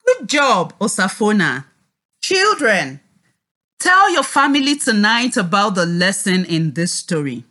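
A woman teaching a read-aloud lesson to children in an expressive, encouraging, deliberate way. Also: medium pitch.

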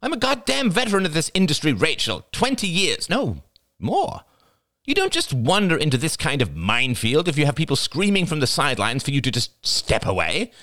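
A man's voice: fairly high pitch, wildly swooping pitch, a little fast, sharp tone, voice pushed up, full character voice. A man voicing a blunt, scolding older woman, a veteran of her industry, who snaps at her niece. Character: brusque older woman, veteran media aunt.

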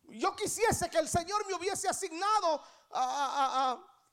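Woman, thirties, Guatemalan accent, very high-pitched, sermon delivery, emphatic, repetitive phrasing, rhetorical questions. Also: expressive, measured pace, fairly bright tone. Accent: Guatemalan Spanish. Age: 30s. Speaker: preacher. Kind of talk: church sermon.

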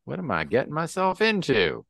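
A man's voice: fairly high pitch, huge pitch swings, moderate pace, vocal fry, a bit bright, no room sound, voice pushed up, exaggerated voice. Man as a wry reporter's inner monologue. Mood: brooding. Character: world-weary investigative reporter thinking to himself.

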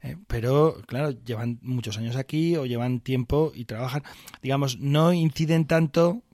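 A man co-hosting a podcast, reasoning aloud in a casual, animated discussion. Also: mid-range voice, moderate pace, no echo, studio quality. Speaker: podcast co-host.

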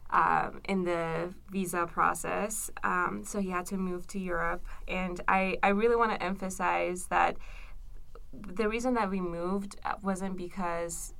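A woman's voice: lowish voice, fairly even intonation, a little slow, gravelly, fairly bright tone. A young woman from Iran, a graduate student, answering interview questions thoughtfully with many fillers. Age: young adult.

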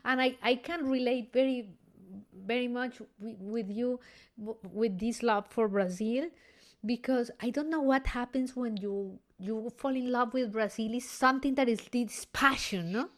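A woman's voice: a little high, unhurried. A woman with a slightly raised voice, a classical singer chatting in an easy, effusive way. She restarts her sentences often as she searches for the right words. Her speech is loose and conversational. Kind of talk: casual conversation.

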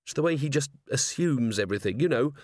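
A man voicing a wry, world-weary adult werewolf woman with a dry, brisk, guarded manner in a storybook reading. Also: medium pitch, lively intonation, dry recording, lightly acted. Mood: resigned.

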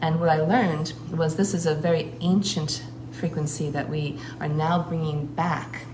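A woman with a low voice speaks earnestly and at length as a shamanic priestess. Her words flow and are broken by frequent filler pauses. The recording is roomy, with steady noise clearly audible behind her. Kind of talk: casual spoken account.

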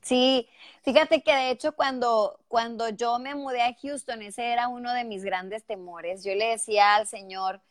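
Lively woman with a northern Mexican accent. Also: a little high, unhurried, dry recording, clean studio sound. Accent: northern Mexican Spanish. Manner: lively, expressive storytelling. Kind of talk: casual interview over a video call. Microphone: headphones with mic on a call.

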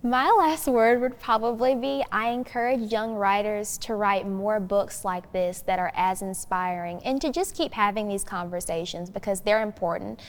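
A woman's voice: mid-range voice, normal speed, hardly any pauses. Podcast guest, young woman, earnest and emphatic conversational delivery, very reverberant hall-like sound. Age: young adult.

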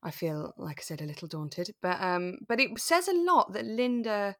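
A woman's voice: mid-range voice, big pitch swings, moderate pace, a bit bright, no echo, clean studio sound. A woman co-hosting a podcast, chatting casually and informally about a radio drama. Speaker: podcast co-host.

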